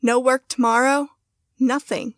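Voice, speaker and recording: Girl acting as young mom in an audio drama, high voice, earnest, affectionate, close dry sound. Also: steady tone, a little slow, no room sound. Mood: downcast. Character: young wife and mother, affectionate, downhearted but steady.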